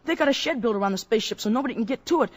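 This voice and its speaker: A woman voicing an earnest, excited young boy in a 1950s radio drama, talking breathlessly and insistently. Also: on the high side, racing, breathy edge.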